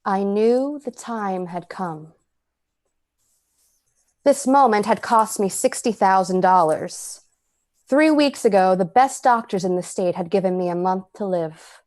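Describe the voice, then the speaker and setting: A woman playing a cold, predatory poisoner who narrates an old-time radio drama in a measured, dramatic way. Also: mid-range voice, darkish tone, natural voice. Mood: calm, confiding. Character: cold, predatory, calculating poisoner telling the tale.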